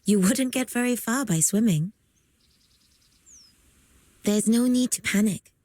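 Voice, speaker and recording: A woman voicing a gentle, composed young incense bearer in a video game, calm and polite, with a slightly husky voice. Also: a little high, slow pace, dry recording. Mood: grave, explanatory. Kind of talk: video game character acting.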